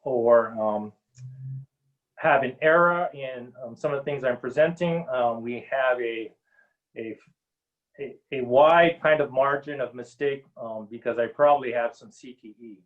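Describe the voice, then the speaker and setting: A middle-aged man from Hawaii speaking earnestly as a panel guest on a video call, with many pauses and ums. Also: mid-range voice, narrow, tinny audio. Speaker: panel guest, Native policy advisor. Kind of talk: webinar panel talk.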